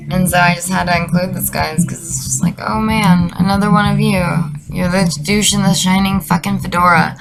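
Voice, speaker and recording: Casting: woman, 20s, fry-laden voice, sweary exasperated comic rambler, loud music underneath. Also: on the low side, poor audio. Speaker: video creator. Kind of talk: casual comedic commentary with read-out messages.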